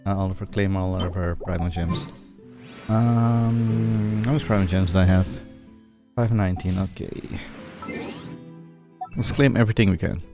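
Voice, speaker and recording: Male streamer, fairly low pitch, relaxed playthrough chat and read-aloud dialogue over faint music. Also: a little slow, pauses often. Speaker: game streamer. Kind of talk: casual playthrough commentary, reading dialogue aloud.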